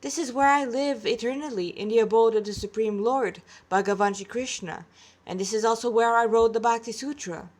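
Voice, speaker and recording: Storybook sage, male figure played by a woman: kindly, stately, serene, slightly high voice. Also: a little fast, a little mellow, natural voice. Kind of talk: storybook character reading.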